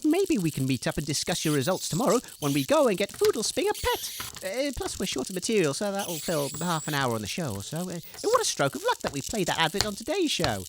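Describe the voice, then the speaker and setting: A woman's voice: lowish voice, huge pitch swings, brisk, hardly any pauses, slight background noise. A woman playing a flustered, affable radio co-host and quizmaster in an audio comedy. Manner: hesitant, flustered, appeasing. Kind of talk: scripted audio comedy hosting.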